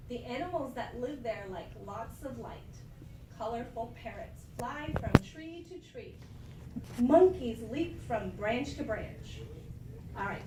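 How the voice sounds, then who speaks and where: A woman teacher doing an expressive read-aloud for her class, stopping to give them prompts. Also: fairly high pitch, background noise. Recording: echoey classroom from far off.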